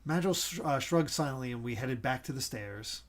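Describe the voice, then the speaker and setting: A man's voice: medium pitch, lively intonation, brisk. A man reading a visual novel game's story aloud as a player, in a dramatic narrating style. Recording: music playing behind the voice. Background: game music.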